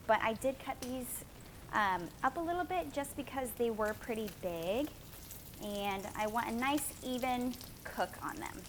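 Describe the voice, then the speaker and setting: A woman's voice: fairly high pitch, noisy background. A woman talking casually to viewers as a vlog host, walking through food and fish with playful, informal exclamations. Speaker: fishing and cooking vlog host. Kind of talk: casual vlog, cooking walkthrough.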